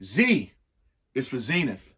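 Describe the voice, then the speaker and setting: A man reading a children's picture book aloud in a theatrical, emphatic way, with dramatic pauses and asides to young listeners. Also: medium pitch, huge pitch swings, bright, forceful tone, slightly rough.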